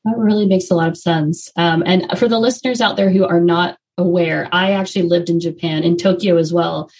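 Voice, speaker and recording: A woman's voice: fairly low pitch, fairly even intonation, brisk, darkish tone, husky. A woman hosting a podcast, asking long, encouraging questions of her guest. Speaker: podcast host. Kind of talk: interview questions.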